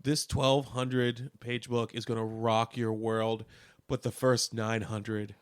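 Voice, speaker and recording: Man, thirties, casual radio banter, expressive mid-range voice, dry studio sound. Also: medium pitch, slow pace, clean tone, no echo. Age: 30s. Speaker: community radio co-host. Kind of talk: casual chat and event announcements.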